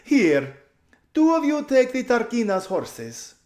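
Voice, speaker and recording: Man putting on a high, giggly young society lady. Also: wildly swooping pitch, slow, darkish tone, echoey room, full character voice. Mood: breezy, self-absorbed. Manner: gushing, drawling, calls everyone darling. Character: flighty, giggly, self-absorbed young noblewoman, lazy society drawl.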